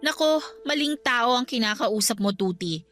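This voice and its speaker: A woman's voice: fairly high pitch, expressive, normal speed, a bit bright, faint music behind. An actress playing a haughty, threatening female office superior in a Filipino radio drama, dismissive and condescending.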